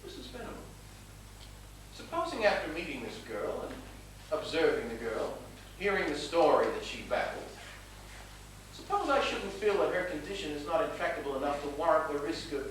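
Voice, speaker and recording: An earnest, troubled doctor, played by a male stage actor, choosing his words carefully. The voice is slightly high for a man and comes from far off in a very echoey, noisy hall. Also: very animated. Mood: uneasy, probing. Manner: careful, halting, formal. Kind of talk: stage play acting. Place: small theatre stage. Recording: very echoey theatre, distant mic, noisy.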